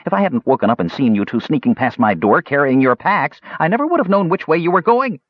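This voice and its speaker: A man's voice: on the high side, sing-song, fast talker, dry recording, highs cut off, voice pushed up. A man voicing a small, cheerful, talkative kender who rambles eagerly, as an audiobook character. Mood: eager chattering. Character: small cheerful chattering kender, pesky and indignant.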